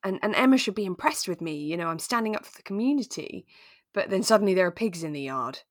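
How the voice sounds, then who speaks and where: A woman in relaxed podcast conversation, musing and thinking aloud as a co-host discussing a drama. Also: mid-range voice, fast talker, dry recording, clean studio sound.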